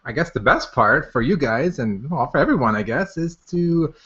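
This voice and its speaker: A man's voice: fairly high pitch, expressive, normal speed, fairly bright tone, husky. A man hosting a live product launch webinar in a casual, unscripted way, informally repeating his phrases as he goes. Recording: dull, lo-fi webinar audio.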